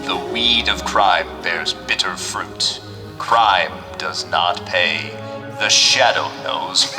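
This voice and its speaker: An actor playing an assured gentleman detective, speaking in a measured 1950s radio drama manner. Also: on the low side, animated, music in the background. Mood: ominous. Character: calm, confident gentleman amateur detective explaining.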